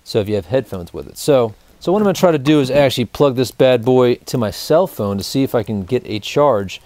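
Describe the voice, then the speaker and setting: A man in his thirties talks casually to the camera as he demonstrates gear. His voice sits at an average pitch and his phrasing is colloquial, like a host talking to regular viewers. The sound is dry, as in a small indoor studio. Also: expressive, a little fast, darkish tone, no room sound. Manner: informal, colloquial, addressing viewers as guys. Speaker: host of a survival gear review show.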